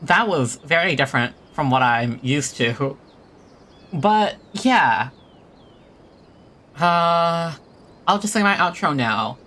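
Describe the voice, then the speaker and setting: A game streamer chatting casually to viewers, rambling and low-key with a self-deprecating touch. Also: lively intonation, slow pace, long pauses, pushed and bright. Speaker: livestreamer playing a video game. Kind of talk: casual livestream chat and game commentary.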